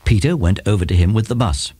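A man's voice: fairly low pitch, animated, fast, dark tone, slightly rough, dry recording. A man narrating a children's story from a script.

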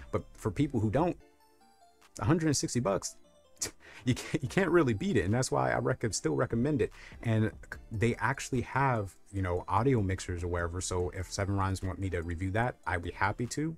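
A young man with a very deep, low voice talks through a gadget review in a loose, rambling way, full of fillers. He is recorded close on a lapel mic in a small room. Faint music plays underneath. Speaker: tech reviewer. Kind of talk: casual product review. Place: small room lit with colored lights.

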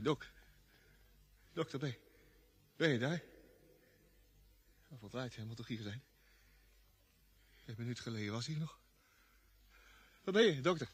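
An actor playing Jimmy, an excitable, easily panicked space crewman who stammers and repeats words in a Dutch radio drama. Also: mid-range voice, husky.

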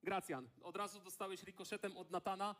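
A man's voice: sits high, fairly even intonation, fairly quick, fairly bright tone. A man hosting a live press conference, moderating and calling the room to order.

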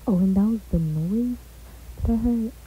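A woman's voice: medium pitch, moderate pace, breathy edge, phone-quality sound. A young woman gives a laid-back, chatty tour of her house in a game, often trailing off with "so yeah.". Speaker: gaming vlogger. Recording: faint hiss.

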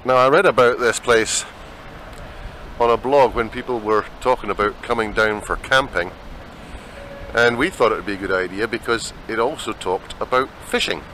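Scottish male vlogger, lowish bright voice, chatty, faint outdoor hiss on a camera mic. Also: normal speed, poor audio. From Scotland. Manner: chatty, rambling. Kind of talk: walking travel vlog narration. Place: outdoors in open desert.

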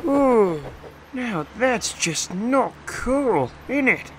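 A woman's voice: fairly high pitch, very animated, extremely slow, slight background noise, exaggerated voice. A woman doing a laid-back, slangy work machine. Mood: disapproving. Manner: casual, slangy.

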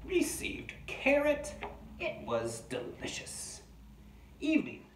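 A man putting on an Australian-accented wombat, lively swooping pitch. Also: slow pace, heavily acted. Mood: demanding, pleased. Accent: attempted Australian. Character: sleepy, demanding, matter-of-fact wombat keeping a diary. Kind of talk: children's picture book read-aloud. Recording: roomy, distant mic, faint background noise.

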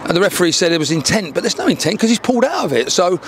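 A man's voice: high voice, sing-song, rapid-fire, background noise. An older man in his sixties, a football manager giving a candid post-match interview outdoors into a handheld mic, often repeating words as he restarts. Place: outdoors by a dark wall at a football ground.

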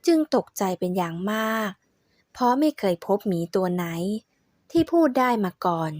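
A woman narrating a folk tale in a steady, measured storytelling voice. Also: lowish voice, no echo, studio quality.

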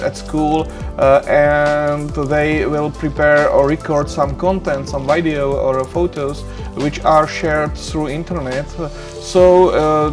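A middle-aged man with a Czech accent, lecturing over slides in a small room, hesitant with many fillers and restarts. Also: on the high side. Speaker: university lecturer.

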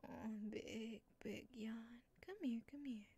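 A woman speaking in soft, breathy whispers in a soothing ASMR roleplay as a comforting motherly caretaker. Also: on the high side. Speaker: ASMR creator.

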